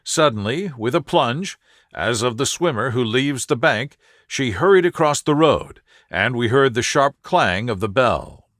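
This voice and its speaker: A man reading a scripted sleep story as an audiobook narrator, in a soothing, measured manner. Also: mid-range voice, animated, a bit bright, dry recording, clean studio sound.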